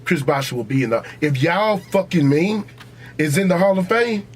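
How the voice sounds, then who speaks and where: A man's voice: fairly high pitch, lively intonation, normal speed, slight background noise. An American man hosting a podcast, debating sports casually but heatedly, swearing freely and repeating himself to press his point. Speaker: podcast host.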